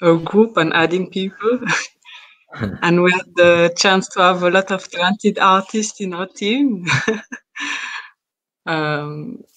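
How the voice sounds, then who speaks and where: A woman in her twenties from Switzerland, introducing herself on a video call with halting, filler-filled speech. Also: lowish voice, low-quality recording. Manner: hesitant, many fillers, laughs softly. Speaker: art contest winner.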